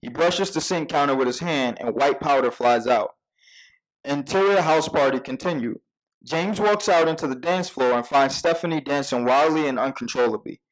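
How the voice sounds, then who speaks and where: A man reading scripted stage directions as narrator at a live table read, measured with occasional stumbles. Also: medium pitch, poor audio.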